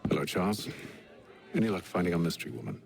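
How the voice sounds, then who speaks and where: Low-voiced, wry English gentleman. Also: animated, creaky, noisy background. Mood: calm, dry. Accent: British. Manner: formal, dry, measured. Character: composed, wry, educated English gentleman, coolly menacing adventurer. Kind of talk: video game cutscene acting.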